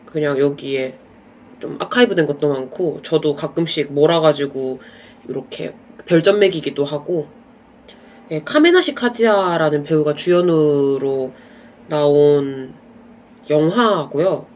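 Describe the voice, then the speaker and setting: A young adult speaker with a slight cold talks to camera at home, their voice a little stuffy and nasal. The delivery is relaxed and chatty, and it wanders into small asides along the way. A faint background hiss runs under the recording. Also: mid-range voice, a little slow. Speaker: vlogger reviewing films and dramas. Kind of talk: casual rambling chat to camera.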